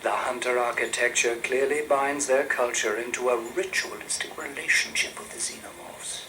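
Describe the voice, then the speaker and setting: A man playing an earnest, awed researcher in a game audio log, with a hushed, breathy, measured delivery. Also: medium pitch, steady tone, noisy background, natural voice. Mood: quiet awe. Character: earnest, awed researcher logging alien ruins.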